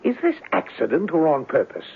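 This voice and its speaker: Boastful, eccentric millionaire for vintage radio drama; grandiose, high voice with gravelly creak. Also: male voice, sing-song, brisk, full character voice. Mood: theatrical indignation, curious. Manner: grandiose, exclamatory, foreign phrases mixed into English. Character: flamboyant, eccentric, rich interstellar mystery man, theatrical and boastful. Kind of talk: 1970s radio drama acting. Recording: lo-fi noisy 1970s radio broadcast.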